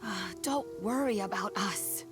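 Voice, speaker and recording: Woman playing elderly grandmother, frank, gentle, medium pitch, anime game dialogue, background music. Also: a little slow, close to an everyday voice. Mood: gently advising. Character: old granny, gentle and frank. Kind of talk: anime-style video game voice acting.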